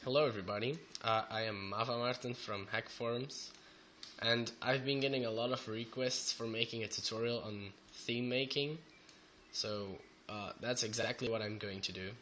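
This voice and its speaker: A young man with a Belgian Dutch accent who is a bit sick, talking haltingly through a coding tutorial with many fillers. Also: medium pitch, steady tone, bright, forceful tone, slight background noise. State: sick, voice sounds off. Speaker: programming tutor. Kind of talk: screen-recorded coding tutorial. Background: keyboard typing and clicks.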